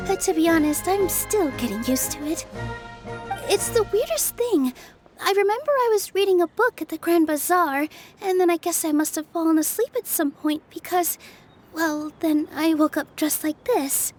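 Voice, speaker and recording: A woman playing a gentle, earnest young dancer turned forest fairy in a video game, speaking politely. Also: very high voice, normal speed. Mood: sheepish. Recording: music playing behind the voice.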